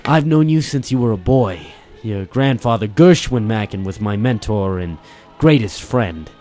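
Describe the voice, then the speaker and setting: A man's voice: fairly low pitch, expressive, a little slow, warm tone. Man acting imposing old detective agency boss, avuncular. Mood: avuncular, proud. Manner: formal, avuncular, addresses listener as boy and son. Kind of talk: old-time radio detective drama acting. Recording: music behind the voice.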